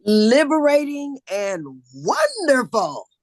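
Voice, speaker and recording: A woman's voice: high voice, huge pitch swings, takes it slowly, dry recording, studio quality, exaggerated voice. A woman playing a gracious big-city boss visiting a small town, in a script table read.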